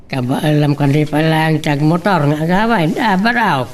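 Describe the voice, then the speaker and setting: A woman's voice: low-pitched, normal speed, distant mic, natural voice. A woman with an Ilocano accent voicing a tipsy older uncle in an audio drama.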